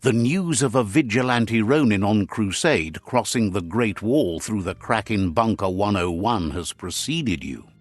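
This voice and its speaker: A man doing scripted narration for a video game in a measured storytelling manner. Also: on the low side, animated, no room sound. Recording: game audio over music. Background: faint game music.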